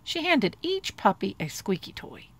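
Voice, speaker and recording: A woman reading a bedtime storybook aloud in an expressive storytelling style. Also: medium pitch, measured pace, no echo. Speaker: storybook reader.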